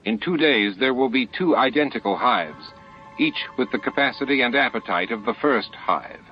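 A man voicing a steady, dutiful starship captain in an audiobook, frank and measured. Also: medium pitch, voice pushed up, natural voice. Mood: dry, firm. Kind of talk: audiobook character dialogue. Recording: muffled lo-fi audiobook with music.

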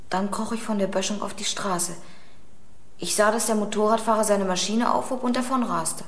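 An actress playing an earnest, subdued young accident witness in a German radio crime drama. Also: female voice, mid-range voice, fairly even intonation, moderate pace, a bit bright, roomy sound, natural voice. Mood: shaken, recounting.

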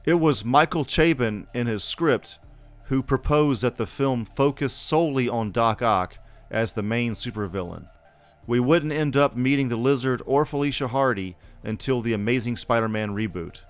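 A man's voice: medium pitch, moderate pace, clear, resonant tone, soft background music. A man co-hosting a podcast, reading scripted narration. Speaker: podcast co-host.

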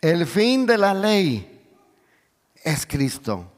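A middle-aged man preaching in Spanish, with as his language, who peppers his sermon with hallelujahs, calls for amen, and swells toward near shouts. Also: sits high, big pitch swings, extremely slow. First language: English. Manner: moves about and gestures on stage, voice swells to near shouts. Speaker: pastor. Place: large church stage. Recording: long reverb of a big church hall.

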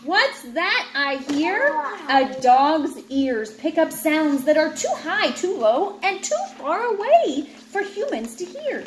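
A woman in her thirties reads a children's book aloud in a high, very lively voice. She takes her time and slips into chatty asides with a child. The recording is made in a roomy, echoey space at home. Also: slow pace, hardly any pauses. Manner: expressive, teacherly reading that breaks off into playful asides. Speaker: parent reading aloud to a child. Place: indoors in an open room at home with high ceiling. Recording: roomy home recording with clear background noise.

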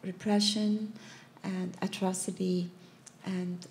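A woman answering as a panelist, haltingly, a word or phrase at a time, at an indoor event. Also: lowish voice, level tone, a little mellow. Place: indoor panel event in a plain gallery room. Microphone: handheld microphone. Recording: faint background room noise.

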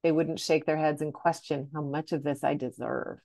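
A woman's voice: low-pitched, fairly even intonation, normal speed, creaky, dark tone. A middle-aged woman co-hosting a podcast over a video call, talking in a chatty way with lots of fillers. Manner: chatty, many fillers like "like" and "you know". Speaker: podcast co-host.

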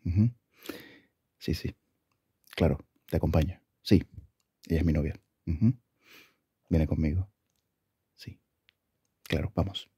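A man speaking softly and affectionately in an intimate ASMR boyfriend roleplay, with a husky, gravelly voice and an unhurried manner. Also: a bass voice, no echo, studio quality. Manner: soft-spoken, affectionate, unhurried.

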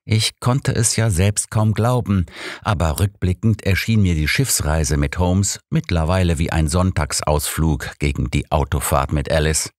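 A man's voice: low voice, measured pace, no echo, studio quality. A man narrating a story in the first person as a scripted audiobook reading.

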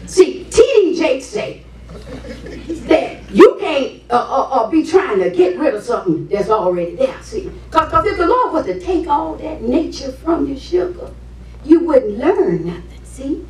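A woman's voice: high voice, big pitch swings, a little slow, darkish tone, distant mic, slight background noise, heavily acted. A woman on stage playing a sassy old American grandmother who advises in a folksy way, repeating her words. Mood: sassy, scolding. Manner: folksy, repeats words, calls people baby and sugar. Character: sassy old grandmother dispensing frank marriage advice. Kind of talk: comedic stage play acting. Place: indoor stage set in a hall. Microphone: distant camera. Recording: echoey stage, picked up from afar.

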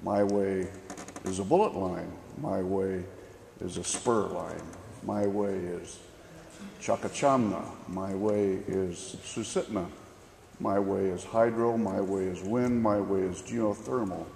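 A low, gravelly man's voice from the audience speaks slowly and deliberately, repeating the same phrase over and over to build his question. The gymnasium adds a roomy echo and a faint hiss. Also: fairly even intonation, a little mellow. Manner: slow, deliberate, rhetorical repetition. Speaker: audience member asking a question. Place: large school gymnasium. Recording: echoey gym recording.

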